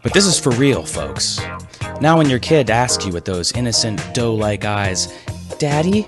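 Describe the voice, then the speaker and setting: A man's voice: lowish voice, lively intonation, moderate pace, music in the background. A man hosting a scripted comedy podcast with a wry, mock-serious delivery. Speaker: podcast host.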